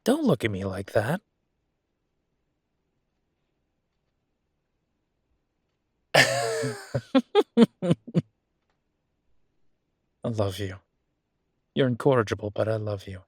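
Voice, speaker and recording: A man's voice: on the low side, big pitch swings, moderate pace, a bit bright, slightly rough, no echo, studio quality, heavily acted. A man playing a friendly, playfully vain werewolf boyfriend in a casual roleplay audio, talking in an easygoing, teasing, conversational way. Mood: teasing, affectionate.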